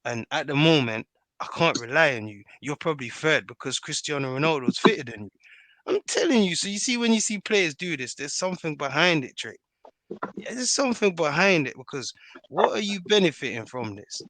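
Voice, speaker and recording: A man's voice: on the high side, big pitch swings, fairly quick, fairly bright tone, slight background noise, low-quality recording. A man debating football casually, slangy and argumentative, often checking that listeners follow him. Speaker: football podcast panellist.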